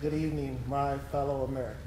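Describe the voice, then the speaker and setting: A man on stage playing a solemn, urgent political leader, speaking deliberately and emphatically in readers theatre oratory. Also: medium pitch, level tone, lightly acted. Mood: solemn, resolute. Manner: deliberate, emphatic, pausing between phrases. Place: live stage performance in a hall. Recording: echoey live hall, clear background noise.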